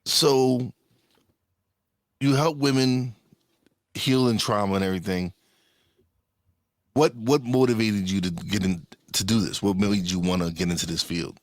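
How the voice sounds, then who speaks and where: A man's voice: lowish voice, a little slow, pauses often, no room sound, studio quality. A man hosting a podcast in a casual, chatty interview style. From New York, USA. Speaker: podcast host.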